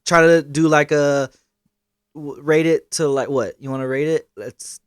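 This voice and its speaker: A young man hosting a podcast in casual chat, animated and hesitant, often getting louder when excited. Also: fairly high pitch, a little slow, clear, resonant tone, dry recording, studio quality. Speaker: podcast host.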